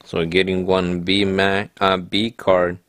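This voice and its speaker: A man's voice: sits low, slow pace, no echo. A man hosting his own channel, chatting casually to viewers as he opens card packs and reads out each card. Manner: relaxed, talks to viewers while reading out cards. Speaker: card-opening channel host. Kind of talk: casual pack-opening narration.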